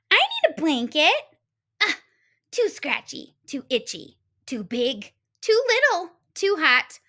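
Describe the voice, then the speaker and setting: A woman's voice: very high voice, pushed and bright, pitched above the performer's own voice, exaggerated voice. A woman voicing a bossy, self-centered big little girl in a storybook, with a whiny, demanding, exclamatory manner.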